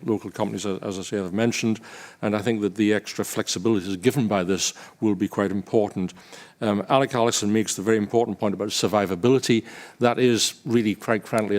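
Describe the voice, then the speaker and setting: A Scottish man addresses a council chamber through a desk microphone. His voice sits low, and his formal, measured delivery is broken by frequent "um" pauses. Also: darkish tone. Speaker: councillor and committee chair. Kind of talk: formal council debate speech.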